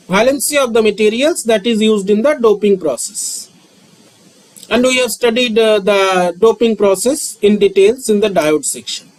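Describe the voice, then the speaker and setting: A man, lecturing on physics and repeating key terms with frequent pauses. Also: sits high, poor audio. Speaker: physics teacher. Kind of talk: slow, repetitive classroom lecture.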